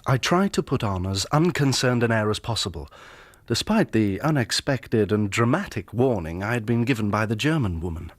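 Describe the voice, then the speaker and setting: An actor playing an earnest, inquisitive young engineer in a radio drama, with a British accent and a polite, well-spoken manner. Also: male voice, fairly low pitch, lively intonation, normal speed, few pauses. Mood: uneasy recollection. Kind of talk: radio drama acting and first-person narration.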